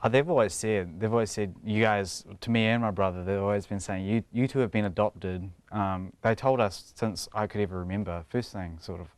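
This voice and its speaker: A man's voice: fairly low pitch, fairly quick, few pauses, smooth, no echo. A young man with a New Zealand accent, chatting casually in a TV interview and laughing often between his thoughts.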